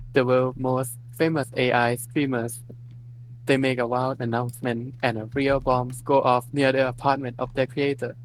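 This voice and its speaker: A man's voice: medium pitch, poor audio. Man steadily reading a fan story. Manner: plain, steady reading with small slips. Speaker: fanfic reader.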